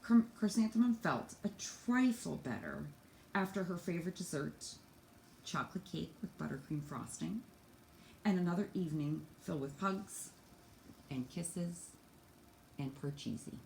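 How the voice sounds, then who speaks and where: Woman, teacher, expressive storybook narration, slightly low voice, echoey room, faint noise. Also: unhurried, creaky. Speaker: teacher reading to students.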